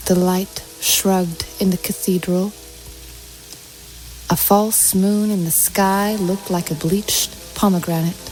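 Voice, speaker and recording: A woman reading poetry in a slow, measured, deliberate way. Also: lowish voice, a little mellow, low-quality recording. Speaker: poetry reader. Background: music soundscape.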